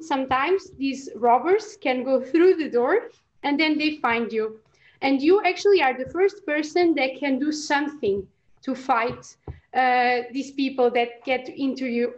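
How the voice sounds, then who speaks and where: A high-pitched young woman explains science in a casual chat, pausing often and restarting her sentences. Her voice comes through slightly dull and lo-fi. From Portugal. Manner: hesitant, many fillers and restarts. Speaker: PhD researcher guest.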